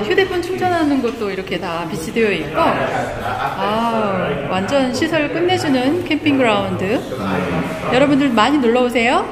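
A woman vlogging as she tours a campground, chatty and exclaiming often, recorded outdoors on a phone. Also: fairly high pitch. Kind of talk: casual vlog narration. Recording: outdoor phone audio with clear background noise.

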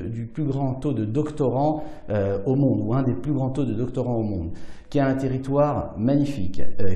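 A man's voice: mid-range voice, dark tone, roomy sound. A man in his forties from France, a business leader giving an earnest, persuasive video address with many hesitations. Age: 40s. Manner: earnest, persuasive, many fillers, gestures with hands. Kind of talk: direct-to-camera video address. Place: small indoor studio against plain backdrop.

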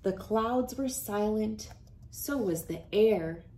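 A woman in her thirties reading a children's storybook aloud with expressive, deliberate delivery. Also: medium pitch. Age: 30s. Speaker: children's book reader. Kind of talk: read-aloud storybook narration. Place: small wood-panelled room indoors.

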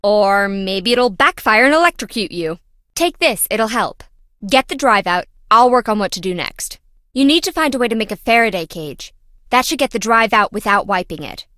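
Woman acting a quirky, animated young lab worker. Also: medium pitch, normal speed, no room sound, studio quality, natural voice. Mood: anxious, urgent. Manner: wry, theatrical, chatty. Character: quirky, dramatic young female lab worker, jittery and playful. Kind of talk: video game voice acting.

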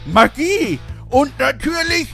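Man acting a high-voiced, creaky gym bro hype man. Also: sing-song, slow, full character voice. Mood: hyped, grandiose. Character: hyped muscle-bound male gym bro fitness influencer. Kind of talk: theatrical promotional intro. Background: guitar music.

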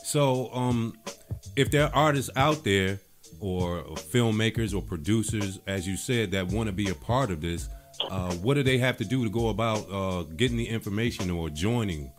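A man's voice: on the low side, lively intonation, moderate pace. A man hosting a casual radio interview on a studio microphone, talking colloquially and offering supportive, affirming replies. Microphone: studio microphone with pop filter. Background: music.

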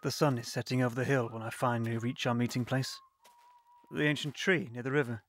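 An older man narrating a reflective memory slowly and wistfully. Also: medium pitch, fairly even intonation, slight background noise. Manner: slow, wistful.

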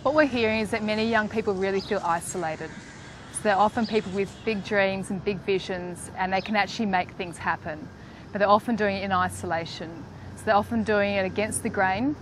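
A woman who organizes the program, explaining it in a measured interview outdoors. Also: medium pitch, slight background noise. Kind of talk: interview commentary. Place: outdoors among greenery.

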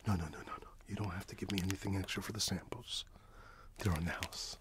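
A middle-aged man softly whispering in an ASMR roleplay as a friendly, chatty shopkeeper, close to the microphone. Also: low voice, fairly even intonation, fast, heavy background noise. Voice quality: soft, mostly whispered. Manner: friendly, chatty, apologetic shopkeeper patter with repeated words. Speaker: ASMR roleplay performer playing a friendly candy shop owner.

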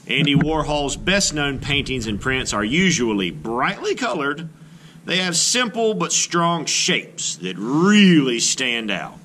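A man reading a children's book aloud as an art teacher, with expressive, emphatic delivery. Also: fairly high pitch, slight background noise.

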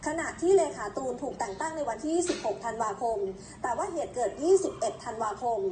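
A woman's voice: high-pitched, expressive, quick pace, a little mellow. A woman presenting news in a conversational reporting style. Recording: roomy echo, clear background noise.

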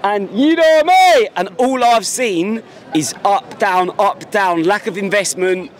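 A man's voice: high-pitched, expressive, slow pace. A man in his twenties, a football fan, talking passionately and emphatically in a street interview outside a busy stadium. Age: 20s. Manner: passionate, emphatic, repeats words. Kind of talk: street vox pop interview. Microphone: handheld mic held by interviewer.

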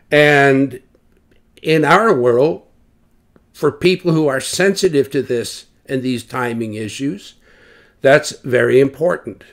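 Man explaining technical material, slightly higher voice. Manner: explanatory, self-correcting, rhetorical questions. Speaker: loudspeaker designer explaining measurements.

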